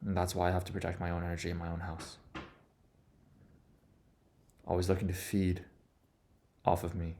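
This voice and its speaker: A man giving a personal, reflective monologue in an earnest, confessional manner, setting out his thoughts in short, deliberate phrases. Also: low-pitched, monotone, warm tone, a little hiss or hum. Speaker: vlogger.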